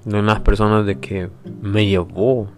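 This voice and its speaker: A man's voice: on the low side, flat delivery. A man, introducing himself as a podcast host in a reflective, hesitant way. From El Salvador. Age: 20s. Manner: reflective, hesitant, with pauses and restarts. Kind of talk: personal introduction monologue. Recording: faint guitar music behind.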